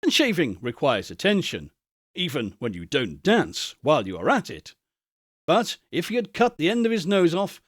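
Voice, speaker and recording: A man reads a classic story aloud as narrator, with expressive literary delivery. His pitch is slightly high and moves around a great deal, and the recording is close and dry. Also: no room sound.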